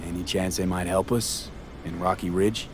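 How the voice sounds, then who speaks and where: An actor playing a cool, streetwise mercenary and former nomad in a video game, talking in terse, dry phrases. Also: male voice, low-pitched, steady tone, moderate pace, background noise, natural voice. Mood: casual, probing. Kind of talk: cinematic video game voice acting.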